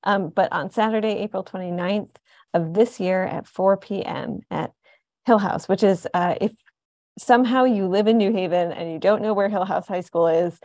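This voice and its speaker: A woman's voice: medium pitch, normal speed, darkish tone, no room sound. A woman with an American accent casually hosting an interview in a conversational, enthusiastic way. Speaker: radio show host.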